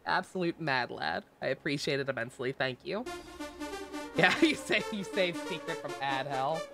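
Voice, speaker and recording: A woman's voice: low-pitched, lively intonation, normal speed, vocal fry. A woman livestreaming a game, chatting casually to viewers in a rambling, joking way with repeated phrases. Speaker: game streamer. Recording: game music behind.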